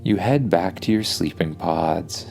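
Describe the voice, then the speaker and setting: A man's voice: low-pitched, unhurried, gravelly, a little mellow, soft background music. A man telling an improvised bedtime story for kids in an informal, soothing way.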